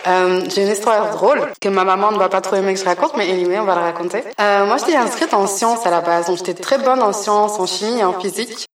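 A woman who works as an artist, telling stories in a lively way and laughing often during a casual interview. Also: mid-range voice, fast talker, hardly any pauses. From Belgium. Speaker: artist guest. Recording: echoey room, voice from a distance.

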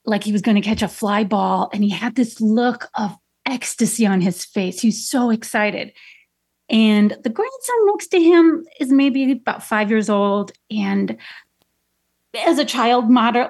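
A woman from Chicago, a guest artist, telling a story in an interview with many pauses and restarts. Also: on the high side, a little mellow, husky. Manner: thoughtful, lots of ums and restarts.

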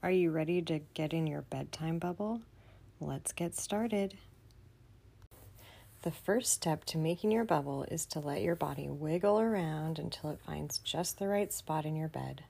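A woman leading a children's bedtime meditation in a soothing, gentle manner, often dropping to a breathy near-whisper. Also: low voice, measured pace. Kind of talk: guided bedtime meditation for kids.

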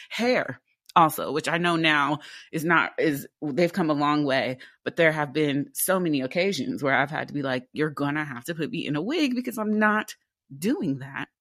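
Casting note: woman, 20s, very low alto, candid and animated interview guest, dry close mic. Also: breathy edge, dry recording. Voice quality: deep alto voice. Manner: candid, animated, with quick rhetorical questions. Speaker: interview guest, theatre actor. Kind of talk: casual interview conversation.

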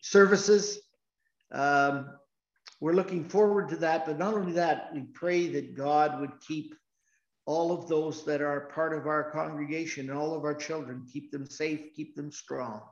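A man leading a church service as pastor, talking in a slow, earnest way with frequent restarts. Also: on the high side, steady tone. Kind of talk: sermon. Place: church sanctuary. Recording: roomy, dull church recording.

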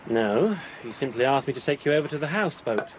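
A man's voice: mid-range voice, animated, quick pace, lo-fi sound, background noise, lightly acted. A man playing a guarded Cairo boatman. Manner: guarded, matter-of-fact. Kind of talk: radio drama acting.

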